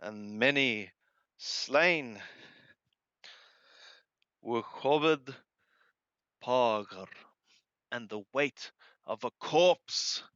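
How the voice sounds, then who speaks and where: Guy reading scripture really slowly n translating bit by bit, halting, highish voice, dull lo-fi dry recording. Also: a bit bright, clean tone, dry recording. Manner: halting, deliberate, repeats and corrects wordings. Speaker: amateur Bible reader and translator. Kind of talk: reading Hebrew scripture aloud with phrase-by-phrase translation.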